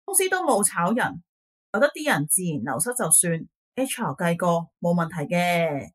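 A woman's voice: lowish voice, sing-song, normal speed, slightly rough. A woman acting a dismissive, weary office boss in a radio drama, with a Hong Kong Cantonese accent. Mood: brusque, placating.

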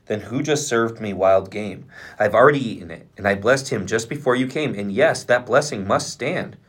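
A man's voice: fairly low pitch, moderate pace, breathy edge. A man voicing an old, doubtful biblical father with a solemn, questioning delivery. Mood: shaken.

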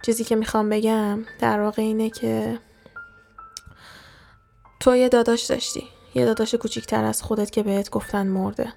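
Audio story role: secretive, earnest young confidant, read by a woman, creaky, restrained delivery over soft music. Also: on the high side, flat delivery. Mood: grave, confiding. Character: secretive, serious young friend confiding strange revelations.

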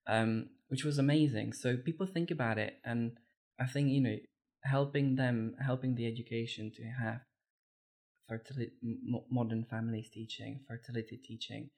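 A man in his thirties who grew up in the Republic speaks earnestly, often restarting his sentences. His voice is gravelly and sits on an even, flat line. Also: mid-range voice, moderate pace. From Czech Republic. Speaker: podcast guest. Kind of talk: conversational storytelling.

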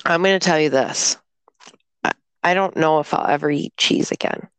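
A woman chatting casually, slowly telling a rambling story about being ill, still sounding congested. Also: low-pitched, clear, resonant tone, no room sound. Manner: rambling storyteller, slow and drawn-out. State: congested, recovering from illness. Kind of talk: casual chat.

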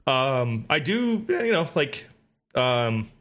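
A man's voice: medium pitch, big pitch swings, slow pace, a bit bright. A man chatting casually about video games, rambling with many fillers, restarts and swearing. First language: English. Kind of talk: casual gaming chat.

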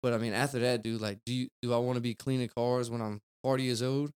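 A young man talks loosely as a podcast guest, rambling and tossing in "dude" and "man". His voice is smooth and clear, sitting at a middle pitch for a man. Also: little pitch movement, quick pace, a little mellow. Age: 20s. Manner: rambling, laid-back, peppered with "dude" and "man". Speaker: guest, car detailer. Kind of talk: casual podcast chat.

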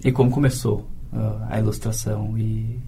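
A man's voice: lowish voice, flat delivery, moderate pace, mellow, a little hiss or hum. A Brazilian man, a radio reporter, asking polite questions in an interview. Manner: polite, gives short backchannels.

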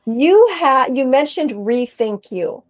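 Enthusiastic woman podcast host, higher voice. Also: expressive, slow pace. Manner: enthusiastic, effusive. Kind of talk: casual interview hosting.

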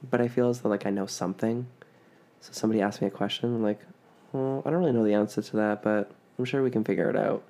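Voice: mid-range voice, fairly even intonation, fast, dark tone. A podcast host from Ontario with a Canadian accent, chatting casually and telling stories with playful emphasis on words. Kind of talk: casual chat.

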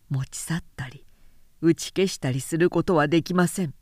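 A woman narrator voicing an ardent, courtly young man who pleads gently and formally in a literary audiobook. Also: lowish voice, dry recording, studio quality, natural voice.

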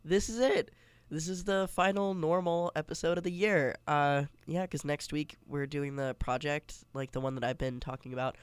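Young male podcast host, congested, chatty and rambling, smooth clear tone. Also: a little high, steady tone, moderate pace, no echo. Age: young adult. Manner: chatty, rambling, many fillers and restarts. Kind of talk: casual solo podcast.

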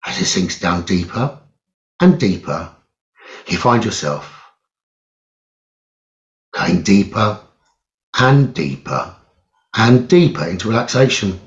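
Male meditation guide, mid-pitched, very unhurried and soothing, with a dull, echoing lo-fi recording. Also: big pitch swings. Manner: soothing, measured, with long pauses. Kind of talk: slow guided meditation narration. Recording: roomy echo, dull lo-fi sound.

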